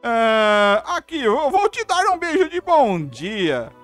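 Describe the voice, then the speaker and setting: A woman with a Brazilian accent giving casual, expressive gameplay commentary and reading dialogue aloud as host. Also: fairly high pitch, takes it slowly, faint music behind. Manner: expressive, informal.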